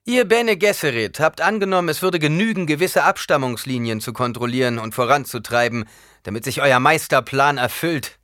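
A man reading an audiobook, voicing a cold, defiant young ruler who talks forcefully and rises into declaiming shouts. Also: medium pitch, normal speed, dry recording, studio quality, voice pushed up, natural voice. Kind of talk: audiobook character voice.

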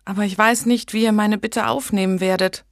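A woman's voice: mid-range voice, fairly even intonation, fairly quick, dry recording, studio quality, voice pushed up, natural voice. A woman voicing a pious young noblewoman who earnestly pleads with a king, in a storybook reading. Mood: earnest pleading. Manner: earnest, imploring.

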